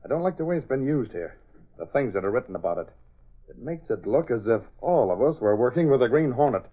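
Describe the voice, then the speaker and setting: Actor as husky, wary radio crime boss. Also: male voice, medium pitch, animated, quick pace, natural voice. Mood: uneasy. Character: wary, shrewd gambling ringleader, a radio villain. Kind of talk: 1930s-40s radio drama acting. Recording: muffled narrow-band old radio recording.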